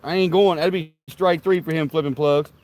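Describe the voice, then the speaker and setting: A man's voice: a little high, steady tone, normal speed, a little mellow. A man hosting a live stream outdoors at a backyard grill, rambling to his viewers with profane, rowdy trash talk and folksy banter. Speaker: livestream host.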